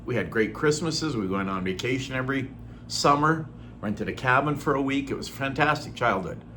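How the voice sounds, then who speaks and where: A middle-aged man from Norway, born Norwegian-speaking, talking in an earnest, unhurried way with frequent ums and throat clearing in a personal vlog. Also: medium pitch, lively intonation, pushed and bright, a little hiss or hum. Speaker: vlogger. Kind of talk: casual personal vlog talk.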